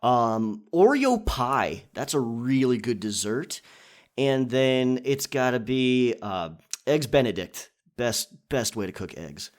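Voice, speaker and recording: A man with a mid-pitched voice talks casually to his listeners as the host of his own audio channel. His delivery is conversational and chatty, like an informal question and answer session. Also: animated, takes it slowly.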